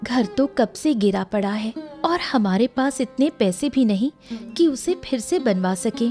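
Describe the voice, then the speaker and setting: A woman acting a poor, devoted village working woman in audio story dialogue, gentle and burdened like a caring sister. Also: a little high.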